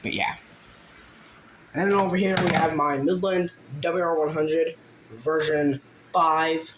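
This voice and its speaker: Higher-voiced man over clear noise. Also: fairly even intonation. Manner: informal, halting, trailing off. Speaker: hobbyist video creator. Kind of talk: casual show-and-tell narration. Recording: noisy home video with clicks.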